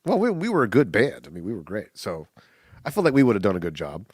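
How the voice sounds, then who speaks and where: A man co-hosting a podcast in casual chat, telling animated stories, acting out quotes and laughing often. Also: on the low side, fast talker, husky, dry recording, studio quality. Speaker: podcast co-host.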